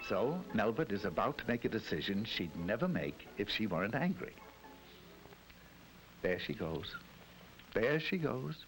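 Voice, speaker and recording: A man's voice: fairly low pitch, rough recording. A man giving scripted 1960s educational film narration in a wry, mock-solemn storytelling manner. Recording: narration over loud music.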